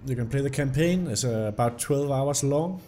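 A young man, slightly hoarse from illness, commenting on a game and reading its story text aloud. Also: medium pitch, normal speed. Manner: reads the story text aloud in an even narrating tone. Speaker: gameplay video host. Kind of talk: casual gameplay commentary.

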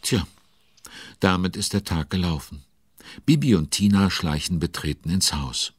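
A man narrating a children's audio drama as a chatty, involved storyteller with conspiratorial asides and a husky voice. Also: low-pitched, expressive, unhurried, dry recording, clean studio sound, exaggerated voice. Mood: chatty, musing.

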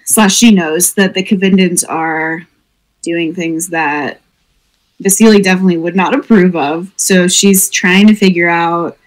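A woman's voice: fairly low pitch, steady tone, a little slow, poor audio. A woman playing in a tabletop roleplaying game, thinking aloud in a rambling way with many fillers. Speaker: player. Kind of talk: tabletop roleplay over voice chat.

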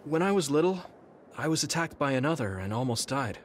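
Earnest, high-pitched young soldier. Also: male voice, very animated, a little fast, a little hiss or hum. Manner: earnest, hesitant, polite. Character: earnest, polite, slightly awkward young male soldier. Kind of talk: anime-style video game dubbing.

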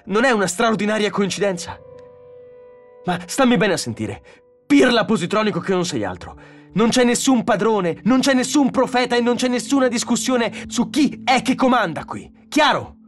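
Man acting irate space engineer, audiobook character, pitched up high, shouting, sarcastic, foul-mouthed, short temper. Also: lively intonation, moderate pace, faint music behind. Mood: scornful, enraged.

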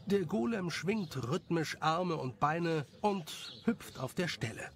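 A man's voice: a little high, expressive, takes it slowly, background noise. A man reading scripted story narration as the narrator.

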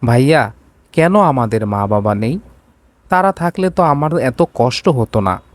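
A man's voice: mid-range voice, lively intonation, measured pace, no echo, natural voice. A man voicing a desperate, indignant young woman in a storybook romance, pleading and reproachful. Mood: distressed.

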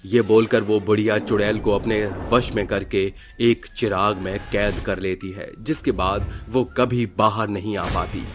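A man narrating a scripted story as its storyteller. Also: lowish voice.

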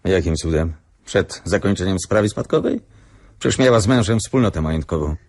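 An actor playing a brusque, impatient police commissioner in a radio play, clipped and commanding. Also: male voice, low voice, lively intonation, a little fast, a little mellow, no room sound, studio quality. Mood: frustrated. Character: brusque, impatient male police commissioner running a murder case.

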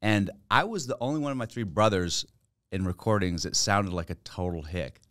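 A man in his thirties from Virginia, a comedian guest, laid-back and self-mocking, close to a boom mic in a small studio. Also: normal speed, a bit bright, no echo. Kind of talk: casual chat. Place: small podcast studio with foam panels. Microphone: studio mic on boom arm, headphones.

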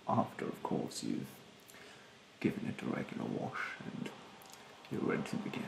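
A young man playing a rude, snobbish facial therapist in a soft-spoken, often whispered ASMR roleplay, with a haughty, halting delivery. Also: roomy sound, heavy background noise, close to an everyday voice. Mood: haughty, fussy. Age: young adult. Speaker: ASMR performer. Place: small bedroom, indoors. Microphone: close to the microphone.

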